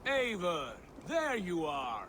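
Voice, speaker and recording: A man's voice: high-pitched, huge pitch swings, takes it slowly, background noise, exaggerated voice. A male actor playing an imperious, menacing Viking warlord in a video game, with grandiose, taunting delivery. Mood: brisk. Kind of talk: theatrical video game acting. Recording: game music clearly underneath.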